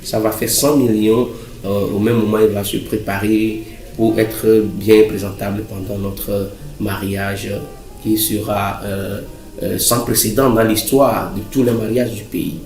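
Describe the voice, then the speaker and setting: A man voicing a pompous, self-satisfied billionaire groom in a cartoon, boasting in a halting way with many pauses. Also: lowish voice, level tone, darkish tone. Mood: boastful. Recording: roomy echo, music underneath.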